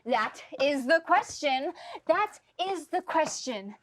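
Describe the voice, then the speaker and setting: A woman's voice: high voice, expressive, slow pace. A woman acting a bossy, jolly troupe comedian with hammy, mock-grand delivery in a stage play. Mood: playfully declamatory. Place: stage performance.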